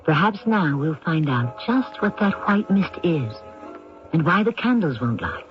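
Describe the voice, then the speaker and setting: A man playing an ominous mystery-theater host, narrating a radio drama in a measured, suspenseful way. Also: fairly high pitch, very animated. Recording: muffled old radio recording. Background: faint music.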